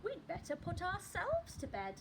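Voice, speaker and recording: An eager young storybook boy, put on by a woman, pleading and full of questions. The voice is high and swoops about. The recording has clear noise. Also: wildly swooping pitch, normal speed, full character voice. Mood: curious.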